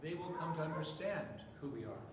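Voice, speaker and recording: A man whose first language is Japanese preaches in short phrases, pausing for an interpreter. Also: fairly high pitch, expressive. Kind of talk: sermon with live interpretation. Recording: echoey hall from far off, noisy.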